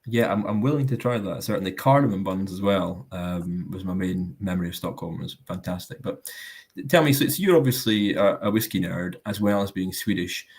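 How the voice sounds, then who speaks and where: A man with a low, deep voice hosts a live tasting online. He talks in an easygoing, self-mocking way and fills his pauses with plenty of ums and uhs. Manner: self-deprecating, hesitant with many ums. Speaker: host of a live whisky tasting. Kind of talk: casual hosting chat.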